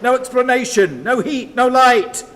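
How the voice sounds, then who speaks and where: An actor playing a troubled, lonely man who tells himself stories in halting, repetitive fragments, in a radio play monologue. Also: very high voice, a bit bright, a little hiss or hum. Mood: rising, urgent.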